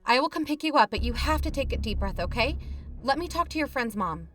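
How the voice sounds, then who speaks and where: A level-headed, higher-voiced peacemaker. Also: female voice, expressive, fairly quick, fairly bright tone, soft background music. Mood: worried, soothing. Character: level-headed woman, caring peacemaker among bickering friends. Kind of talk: scripted audio fiction dialogue.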